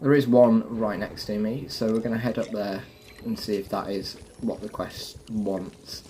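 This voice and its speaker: A man's voice: lowish voice, steady tone, a little slow, warm tone, a little hiss or hum, low-quality recording. A man hosting a gaming video, giving a casual walkthrough and explaining each step directly to viewers. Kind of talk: casual game walkthrough commentary.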